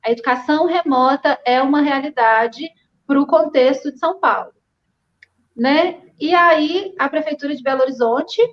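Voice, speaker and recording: High-voiced woman from Minas Gerais. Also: moderate pace. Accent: Minas Gerais Portuguese. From Minas Gerais, Brazil. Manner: animated, addresses the audience directly. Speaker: physical education lecturer and live panelist. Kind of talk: casual online panel discussion. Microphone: video call. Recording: lo-fi video call audio.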